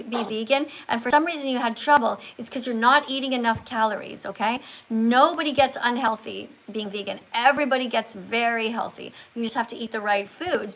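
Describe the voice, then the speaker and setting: Lady livestream host, kinda high voice, teachy and probing, chatting live w callers. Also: fairly bright tone, rough recording. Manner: probing, presses callers with follow-up questions; didactic, explains in short emphatic statements. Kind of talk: live call-in chat about veganism.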